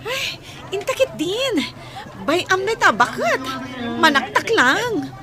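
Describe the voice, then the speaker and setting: A woman's voice: very high voice, very animated, takes it slowly, crowd noise, heavily acted. A woman playing a vain, selfish young schemer with a haughty, whiny manner in an amateur serial drama. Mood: irritated, scornful.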